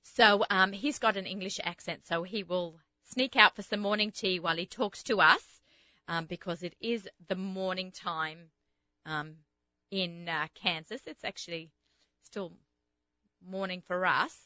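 A woman's voice: fairly low pitch, unhurried, pushed and bright, dry recording, lo-fi sound. A woman with an Australian accent tinged with American, hosting a radio show in a chatty, rambling way. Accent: Australian, slightly Americanized. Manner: chatty, rambling, many fillers. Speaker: radio host. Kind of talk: casual radio chat.

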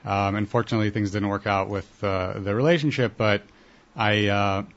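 Young male guest, low voice, halting delivery, muffled lo-fi audio. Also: fairly even intonation. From Columbus, Ohio. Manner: hesitant, many uh fillers. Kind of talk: casual interview answers. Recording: dull lo-fi broadcast audio.

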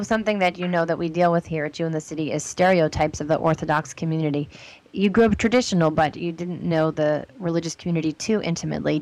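A woman's voice: on the low side, a little mellow, rough recording. A woman hosting a casual podcast interview, earnest and speaking with many "um"s and "like"s. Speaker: podcast host.

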